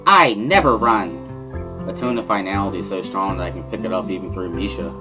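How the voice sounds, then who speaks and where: Low-voiced man narrating over music. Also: level tone, very fast. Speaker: narrator of a visual novel reading. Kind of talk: dramatic first-person scripted narration.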